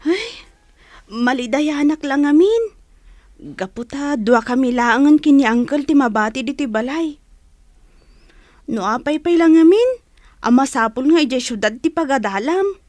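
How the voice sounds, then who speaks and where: A woman's voice: high voice, expressive, measured pace, long pauses, a little mellow, dry recording. A woman playing a plaintive young sibling attached to a big brother in a radio drama. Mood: plaintive, worried.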